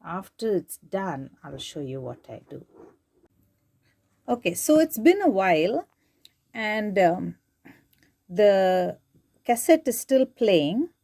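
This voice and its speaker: A woman's voice: mid-range voice, sing-song, slow, pauses often, mellow. A woman presenting an informal, unscripted how-to demonstration, hesitant and thinking aloud as she goes step by step. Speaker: tutorial presenter demonstrating tape digitizing.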